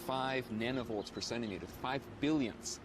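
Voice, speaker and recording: A man's voice: mid-range voice, normal speed, background noise. A man speaking as a scientist, explaining figures conversationally to an interviewer.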